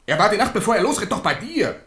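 A man's voice: sits high, big pitch swings, fast talker, a bit bright. An actor playing an uneasy, questioning king at court in a radio drama, speaking formally. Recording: echoey, slightly distant room.

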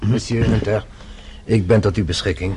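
An actor playing a formal, cautious French police inspector, measured and polite, in a radio play. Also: male voice, on the low side, steady tone, mellow, a little hiss or hum, close to an everyday voice. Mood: measured, unruffled.

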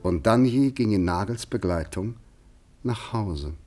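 An actor narrating a German radio play in a composed, deliberate, literary manner. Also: male voice, lowish voice, expressive, warm tone, faint music behind. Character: male literary narrator of an evening gathering, composed.

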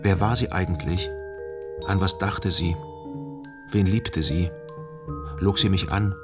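Low-voiced brooding gentleman, music behind. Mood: anguished brooding. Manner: introspective, measured, formal phrasing. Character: brooding, jealous, grieving gentleman narrator obsessed with a dead lover. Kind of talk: literary radio drama monologue.

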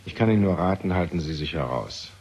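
An actor playing a sober official giving firm, cautioning advice in a German radio play. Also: male voice, low-pitched, expressive, fast, a little mellow, breathy edge, muffled recording, full character voice. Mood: firm, warning.

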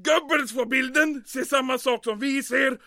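An actor playing a vain, excitable military commandant with a German accent in old-time radio comedy style. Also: male voice, very high-pitched, vocal fry, no echo, clean studio sound, heavily acted. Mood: hysterical despair. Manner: theatrical, excitable, mixes German exclamations into speech.

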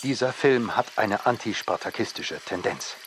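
A man's voice: fairly low pitch, animated, normal speed, close to an everyday voice. Officious actor as male bureaucrat, faint noise. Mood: stiff, formal. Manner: formal, officious. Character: formal male official reading out stiff bureaucratic letters. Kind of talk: radio play letter reading.

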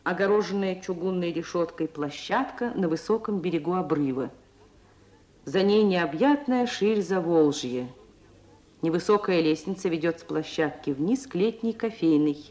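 A narrator reading scripted stage scenes for a radio drama in a measured, literary storytelling manner. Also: mid-range voice, expressive, a little mellow. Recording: old radio recording with faint hiss.

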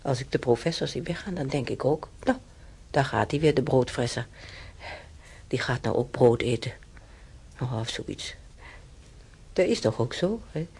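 Husky, creaky old man reminiscing. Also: mid-range voice, animated, normal speed, long pauses, darkish tone, full character voice. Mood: amused reminiscing. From Germany. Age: older. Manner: rambling, reminiscing, with hesitations and tag questions. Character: chatty, stubborn old German-born man being cared for. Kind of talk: conversational radio play acting.

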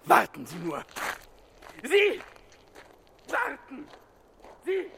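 An actor in a German radio drama playing a pompous, furious Nazi author who rants, threatens and talks of himself in the third person. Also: male voice, very high-pitched, wildly swooping pitch, very slow, vocal fry, a bit bright, slight background noise, heavily acted. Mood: threatening.

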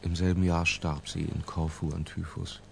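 An actor playing an imprisoned man recalling an obsession in a hushed, lingering literary radio drama narration. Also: low voice, level tone, moderate pace, gravelly, slight background noise. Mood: sombre, reflective. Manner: hushed, lingering, sometimes falling to a whisper. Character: Humbert, imprisoned man confessing his obsessive boyhood love.

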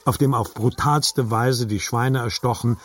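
A man's voice: mid-range voice, fairly even intonation, unhurried, a bit bright, breathy edge. A man narrating literary fiction for an audiobook in a scripted reading style.

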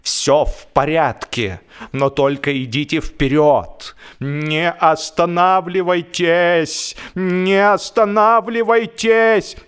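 A man voicing an exasperated gentleman boater in a comic audiobook, pleading loudly with strained, emphatic delivery. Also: a little high, fairly even intonation, slow, clean tone, voice pushed up.

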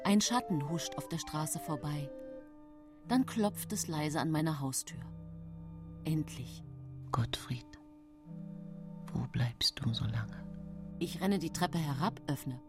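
An actress playing a secretive nineteenth-century woman poisoner who tells her own story in hushed, composed radio drama narration. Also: sits low, slow pace, pauses often, music in the background. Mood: tense, watchful. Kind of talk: intimate first-person radio drama narration.

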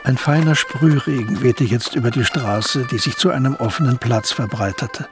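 Measured male radio-drama narrator, faint music behind. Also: medium pitch. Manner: measured, literary storytelling.

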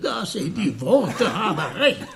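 Radio comedy: fussy, argumentative pedant, gravelly creak, slow but very lively intonation. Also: male voice, high voice, full character voice. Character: quibbling, pedantic old-fashioned scholar in learned dispute. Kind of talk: comic literary radio play acting.

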